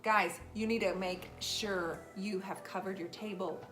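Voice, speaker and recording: A woman's voice: mid-range voice, fairly even intonation, unhurried, a bit bright, faint music behind. A woman with an American accent hosts a children's craft show, explaining steps casually with playful banter. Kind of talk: casual step-by-step craft demonstration.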